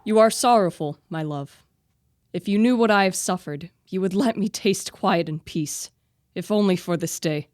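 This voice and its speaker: A woman's voice: lowish voice, normal speed, darkish tone, no room sound, close to an everyday voice. A young woman playing a tormented, guilt-ridden young man in a radio play, with earnest, formal literary diction. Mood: tender, uneasy. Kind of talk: student radio play acting.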